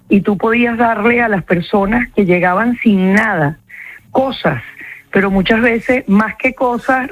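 A woman's voice: medium pitch, no room sound, phone-quality sound. A woman with a Venezuelan accent, a psychologist answering interview questions in a reflective way and repeating key words for emphasis.

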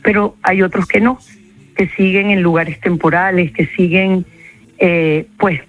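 A woman's voice: on the low side, fairly even intonation, a little slow, faint music behind, rough recording. A woman with a Venezuelan accent, a psychologist answering interview questions in a reflective way and repeating key words for emphasis.

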